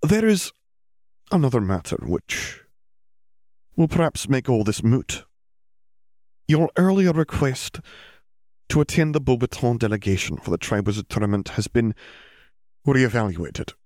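Storybook role: strict older witch professor, played by a man, formal and measured, raised pitch, close dry recording. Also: very animated, darkish tone, no room sound. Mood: reluctant. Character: stern older female magic school teacher.

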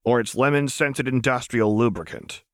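A man's voice: medium pitch, normal speed, no room sound, clean studio sound, pitched above the performer's own voice, close to an everyday voice. A man voicing a manic, playful, menacing woman in an audiobook, brash and abrupt, swinging from chummy to threatening. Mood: gleeful. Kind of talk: audiobook character voice.

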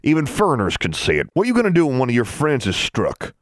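A man's voice: lowish voice, very animated, normal speed, no room sound, pitched above the performer's own voice. A man voicing a righteous, accusing teenage country boy in an audiobook, with a rural Southern US accent and preachy, old-fashioned dialect. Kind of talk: audiobook character dialogue.

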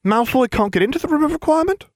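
A shrill, bookish teenage girl snaps back in exasperation, as a man reads her part. Her pitch climbs high and leaps around, and the voice sits close and dry. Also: animated, rapid-fire, dry recording. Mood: puzzled. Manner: snappish, exasperated.

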